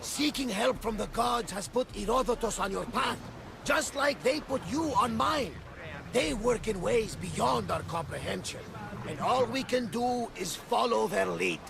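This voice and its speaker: An actor playing a boisterous, devout old ship captain who talks loudly and exuberantly in a game cutscene, with crowd sounds behind him. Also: male voice, sits high, animated, a little slow, vocal fry, heavily acted. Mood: welcoming. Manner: loud, exuberant, swears by the gods.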